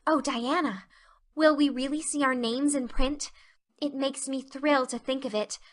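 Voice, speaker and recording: A woman's voice: high-pitched, fairly even intonation, measured pace, pitched above the performer's own voice. A woman voicing a dreamy thirteen-year-old girl, effusive, romantic and theatrical, in an audio drama. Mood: thrilled.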